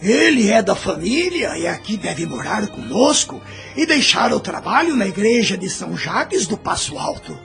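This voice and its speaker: A man playing a kindly, protective old uncle in an audio drama, speaking in a deliberate, avuncular way. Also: sits high, very animated, vocal fry, fairly bright tone, soft background music, exaggerated voice. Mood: concerned.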